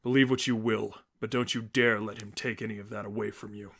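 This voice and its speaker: A man voicing a stern, brooding masked vigilante mentor, speaking in a grave, terse, low-key way in a story reading. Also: flat delivery, fairly quick, gravelly, a bit bright.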